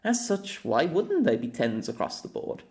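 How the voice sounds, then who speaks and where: Guy reading his own audio essay, really animated pitch, kinda wordy and formal. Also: moderate pace. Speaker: host of an anime audio essay. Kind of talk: scripted narration, opinion essay.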